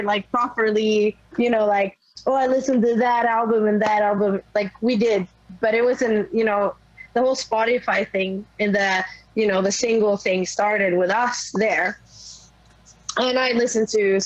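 A young woman from Sweden with a naturally raspy voice, a musician guest talking in a loose, rambling way with lots of fillers. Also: a little high, dry recording, highs cut off. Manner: rambling, many fillers and restarts. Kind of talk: casual interview chat.

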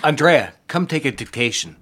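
A man's voice: a little high, expressive, moderate pace, fairly bright tone, husky. A man playing a cold, critical boss in a period office drama, formal, clipped and condescending. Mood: curt, impatient. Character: cold, critical male boss, curt and belittling.

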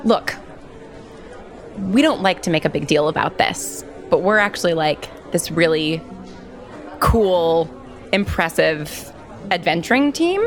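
A woman's voice: fairly low pitch, lively intonation, a little slow, long pauses. A woman playing a tabletop roleplaying game, chatting and bargaining in a casual, informal way. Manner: informal, peppered with "like". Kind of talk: casual chat and in-character bargaining. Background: music.

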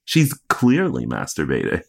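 A man co-hosting a podcast in casual comedic recap chat, playful, crude and quick to swear. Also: extremely low pitch, huge pitch swings, creaky, darkish tone. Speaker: podcast co-host.

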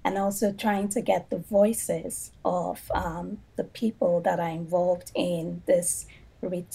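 A woman's voice: fairly low pitch, fairly even intonation, slow, gravelly, dark tone, slightly rough. A woman from Africa, a social work professor, explaining her views earnestly as a podcast guest. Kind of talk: interview answers.

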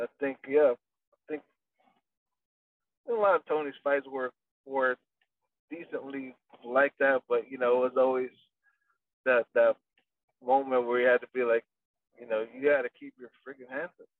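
A man's voice: mid-range voice, steady tone, a little slow, long pauses, no echo. A man who trains boxers, talking casually in an interview and rambling with lots of fillers and restarts. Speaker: boxing trainer. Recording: dry, distant, phone-like audio.

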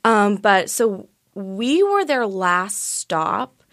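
A woman's voice: mid-range voice, sing-song, very slow, a bit bright. Bubbly young woman, chatty interview guest. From Vietnam. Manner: bubbly, laughs often, many "like" fillers. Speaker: guest actress. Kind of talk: casual interview chat.

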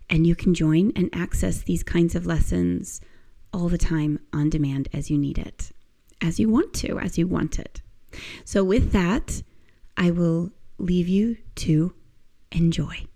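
Woman podcast host, croaky hoarse voice, gravelly fry, mellow dark tone, encouraging and gently instructive. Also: lowish voice. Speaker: podcast host and movement teacher. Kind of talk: casual podcast monologue.

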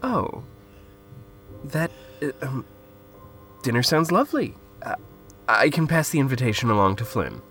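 A man's voice: medium pitch, big pitch swings, a little slow, long pauses, a bit bright, soft background music. An actor playing an anxious, polite, awkward man in an audio drama, hesitant and stammering. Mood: awkward, unsure.

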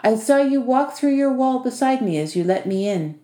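A woman's voice: sits high, expressive, normal speed, dark tone, pitched above the performer's own voice. A woman voicing a polite, eager and slightly awkward young man in an audiobook. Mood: nervous, polite. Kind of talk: audiobook character dialogue. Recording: echoey room.

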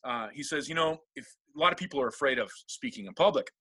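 A man's voice: mid-range voice, fast, sharp tone, breathy edge, no echo. A middle-aged man from Seattle, a guest telling rambling, self-deprecating stories in a casual interview and laughing often. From Seattle, USA.

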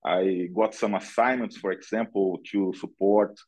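A man's voice: on the low side, fairly even intonation, unhurried, a little mellow. A middle-aged man with a Brazilian accent giving conversational interview answers as a guest, hesitantly searching for words and often checking in with "right?". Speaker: podcast guest, analytics director.

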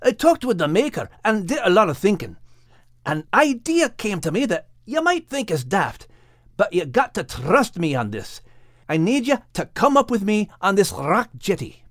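A man's voice: sits high, huge pitch swings, normal speed, fairly bright tone, no echo, clean studio sound, pitched above the performer's own voice, heavily acted. A man voicing a cheerful, teasing, brave male dog with a Scottish accent in an animal story. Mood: earnest, encouraging.

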